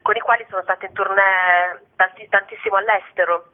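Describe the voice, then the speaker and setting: A woman's voice: mid-range voice, fairly even intonation, a little fast. Female singer-songwriter guest, casual and chatty, thin telephone-quality radio line. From Bari, southern Italy. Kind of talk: casual spoken interview. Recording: narrow phone-like radio line.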